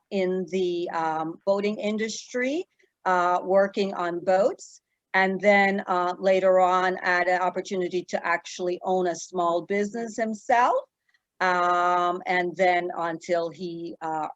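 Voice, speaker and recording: A woman in her fifties from Jamaica, an attorney answering interview questions in a measured way with many fillers and pauses. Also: on the low side, no echo, highs cut off.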